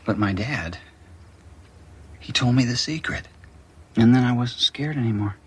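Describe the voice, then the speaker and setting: A middle-aged man playing a gentle, playful father in a naturalistic TV drama, tender and coaxing. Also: mid-range voice, a little slow, breathy edge. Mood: soothing. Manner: tender, coaxing, often hushed. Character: gentle, playful father soothing his frightened child.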